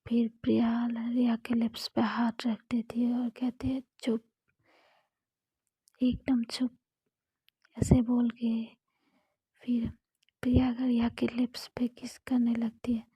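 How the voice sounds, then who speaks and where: Woman whispering a slow romantic story. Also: a little high, monotone, warm tone, slightly rough, dry recording. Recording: dull lo-fi recording.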